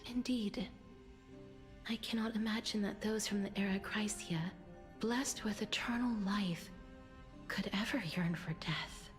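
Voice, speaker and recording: An actress playing a gentle, solemn young alchemist in a video game, speaking softly and formally in measured phrases. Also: female voice, mid-range voice, close to an everyday voice. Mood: pensive. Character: gentle solemn young woman, alchemist guiding souls of the dead. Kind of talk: scripted video game voice acting. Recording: game audio with music behind.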